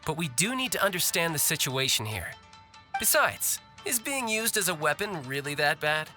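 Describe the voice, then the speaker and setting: A man's voice: a little high, sing-song, moderate pace, bright, forceful tone, exaggerated voice. A young man voicing a shrewd, cocky fighter in a video game, with a glib, teasing manner. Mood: breezy. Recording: music behind the voice.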